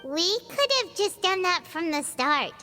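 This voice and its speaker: A woman voicing a small, childlike fairy companion in a video game, perky, boastful and cutesy with a squeaky voice. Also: animated, a little slow, pushed and bright, exaggerated voice. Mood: chatty. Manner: speaks of self in third person, cutesy. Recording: music playing behind.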